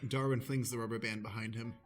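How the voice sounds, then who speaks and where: A man's voice: mid-range voice, level tone, quick pace. Male radio play narrator over music. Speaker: narrator and stage manager. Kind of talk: deadpan stage-direction narration. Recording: music behind the voice.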